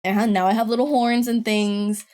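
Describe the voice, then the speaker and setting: A young woman with a deeper voice, a podcast guest telling stories in a casual, rambling chat full of laughs and swearing. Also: steady tone, normal speed, darkish tone.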